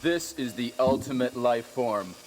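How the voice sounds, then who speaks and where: A man's voice: medium pitch, measured pace, noisy background, natural voice. An actor voicing a cold, treacherous male villain captain in a 1990s video game, with stiff line readings. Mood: gloating. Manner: stiff, flatly delivered lines. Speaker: game character. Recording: music behind the voice.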